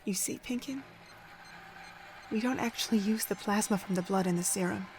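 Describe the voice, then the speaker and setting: A woman playing a cold, scornful female pony overseer in an audio drama, speaking in deliberate, contemptuous monologue. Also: medium pitch, darkish tone, a little hiss or hum, lightly acted. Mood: cold, dismissive.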